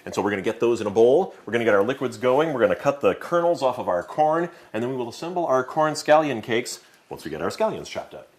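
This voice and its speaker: A man's voice: medium pitch, sing-song, fast talker, hardly any pauses. A man working as a TV chef, explaining each cooking step in a wry, articulate way. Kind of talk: cooking show demonstration.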